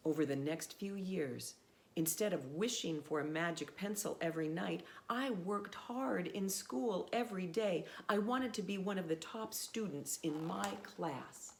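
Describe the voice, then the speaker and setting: A woman reading a children's storybook aloud in a measured, expressive way with pauses. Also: on the low side, vocal fry, slight background noise.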